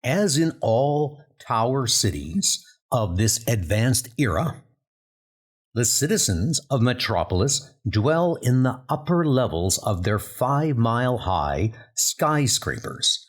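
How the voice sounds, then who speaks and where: Slow-paced man narrating a comic. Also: mid-range voice, very animated, echoey room, in a large hall. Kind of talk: scripted comic book narration.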